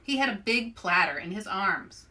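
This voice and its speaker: Bright-voiced woman reading to kids. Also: medium pitch, normal speed. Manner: animated, addresses young listeners directly with questions. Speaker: children's author hosting a read-aloud show. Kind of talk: children's read-aloud and chatty presenting.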